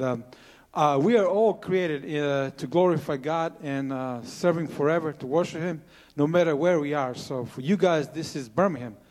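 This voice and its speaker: A man's voice: a little high, a little mellow. Croatian-accented man giving testimony in a hall. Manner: earnest, plain-spoken with frequent uh fillers. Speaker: missionary speaking at church. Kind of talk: personal testimony to a congregation. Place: church sanctuary.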